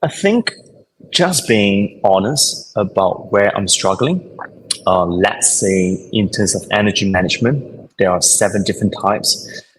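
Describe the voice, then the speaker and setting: Husky-voiced Singapore-raised man, low. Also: a little slow, poor audio. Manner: thoughtful, explanatory, with fillers and non-native grammar. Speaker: podcast guest, business coach. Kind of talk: conversational interview answers.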